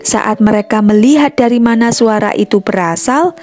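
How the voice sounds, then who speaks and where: A woman's voice: a little high, moderate pace, a little mellow. A woman reading a scripted children's story as a storyteller. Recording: music behind the voice. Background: music.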